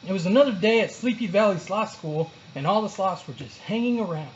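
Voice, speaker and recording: A storyteller narrating a children's book aloud in an expressive read-along style. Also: mid-range voice, fairly quick, dull audio, slight background noise.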